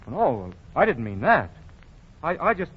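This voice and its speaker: A man's voice: on the high side, sing-song, measured pace, breathy edge, exaggerated voice. An actor playing a harried young man who stammers when flustered, in a 1940s radio drama. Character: harried young man, the old man's son. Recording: dull old radio broadcast.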